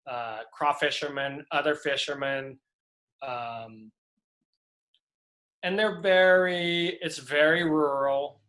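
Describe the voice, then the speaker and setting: A middle-aged man from Louisiana, a prop master telling stories in a relaxed interview over a video call, rambling with many fillers and restarts. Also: on the high side.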